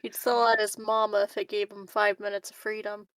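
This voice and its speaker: A woman's voice: mid-range voice, little pitch movement, fairly quick, crowd noise, rough recording. A woman chatting casually as a player in a tabletop game.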